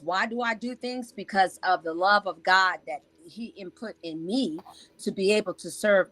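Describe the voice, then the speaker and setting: A woman's voice: mid-range voice, bright, forceful tone, no echo, low-quality recording. A woman from Liberia, a reverend, introducing herself earnestly on a video call as a panelist. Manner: earnest, testimonial tone. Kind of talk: casual self-introduction.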